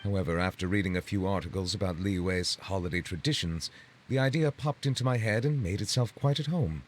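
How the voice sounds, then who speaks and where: Low-voiced, solemn, courteous dignitary. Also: male voice. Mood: earnest, reflective. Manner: formal, measured, ornate phrasing. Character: courteous, solemn, formal male dignitary, faintly awkward. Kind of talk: video game dialogue acting.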